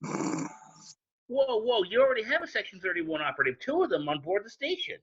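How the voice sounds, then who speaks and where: A man bantering casually on a cast panel in an animated, exclamatory way. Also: sits high, fairly quick, slightly rough. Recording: dull lo-fi call audio.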